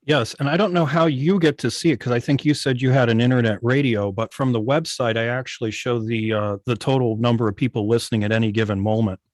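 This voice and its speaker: A man's voice: mid-range voice, steady tone, dry recording. Hesitant man, dry close sound. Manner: hesitant, halting, searching for words. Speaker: guest, internet old-time radio station operator. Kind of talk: casual conversational interview. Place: indoors on a video call.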